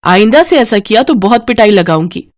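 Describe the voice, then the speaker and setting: A woman voicing a haughty rich mistress of the house in a sharp, bossy, accusing manner for a children's story. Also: medium pitch, expressive, fairly quick, close to an everyday voice. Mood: angry scolding.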